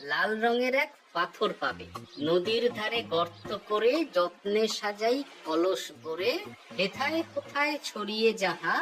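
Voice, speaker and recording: A man's voice: sits high, animated, a little slow, sharp tone, full character voice, rough recording. A man voicing a clever, witty court jester in a Bengali cartoon, wry and reasoning. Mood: reciting riddle.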